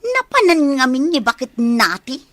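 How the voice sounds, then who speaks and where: Radio drama part: actress as demanding young daughter, high whiny voice, lively swings, dry close sound. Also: female voice, normal speed, no echo. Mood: complaining. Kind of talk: Ilocano radio drama acting.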